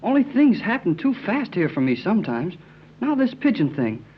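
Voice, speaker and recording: An actor playing a plucky youngster with slangy, colloquial speech and a husky voice in an old-time radio serial. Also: mid-range voice, very animated, moderate pace, natural voice. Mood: grumbling, downcast. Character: spirited, slangy, plucky youngster named Jerry. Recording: muffled vintage radio recording.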